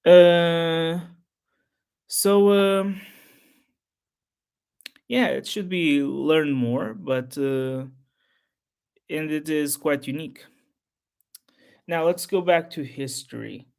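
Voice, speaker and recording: A man whose first language is Portuguese, talking unscripted to his channel's viewers in a halting, rambling way full of restarts. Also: on the high side, creaky, darkish tone, clean tone. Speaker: history YouTuber. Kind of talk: unscripted solo talk to camera.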